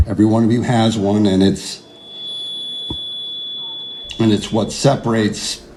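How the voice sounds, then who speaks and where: An actor playing an earnest, idealistic neuroscientist in an audio drama, speaking in a measured, deliberate way. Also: male voice, fairly low pitch, fairly even intonation, background noise, close to an everyday voice. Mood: measured, grave. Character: earnest idealistic male neuroscientist, defiant under pressure. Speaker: neuroscientist, lead character. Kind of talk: audio drama narration and dialogue.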